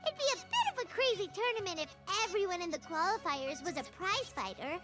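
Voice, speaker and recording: A woman playing a small, excitable, childlike fairy companion in an anime fantasy game, squeaky and given to exclaiming. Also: brisk, loud music behind the voice. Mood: eager, chatty. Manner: exclamatory, speaks of self in third person.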